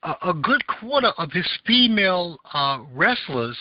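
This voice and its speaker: A man's voice: high voice, very animated, unhurried, no echo. A man with a Southern American accent hosting a casual call-in podcast, rambling and searching for words with many fillers. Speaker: podcast host. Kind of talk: casual call-in talk show chat. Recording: dull lo-fi audio.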